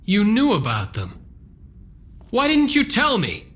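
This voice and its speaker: A man's voice: sits high, huge pitch swings, a little slow, fairly bright tone, full character voice. A man playing an earnest young vampire-hunting hero in a video game cutscene, with a dramatic delivery. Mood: questioning.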